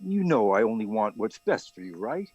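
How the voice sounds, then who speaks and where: Radio drama dad: actor as a protective, gentle middle-aged father, husky, expressive, on a dull recording. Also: on the low side, normal speed, no room sound. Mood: gentle, caring.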